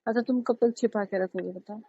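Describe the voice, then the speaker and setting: A woman's voice: medium pitch, fairly even intonation, fairly quick, warm tone, husky, no room sound. A young woman chatting casually on a recorded phone call, insistent and teasing in manner. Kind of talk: casual phone chat with brother.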